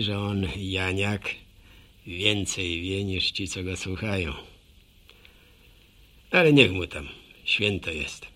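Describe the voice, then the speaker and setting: An actor playing a folksy older village man with a rural Polish accent, telling a wry, rambling radio drama monologue in a gravelly voice. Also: fairly low pitch, fairly bright tone, exaggerated voice.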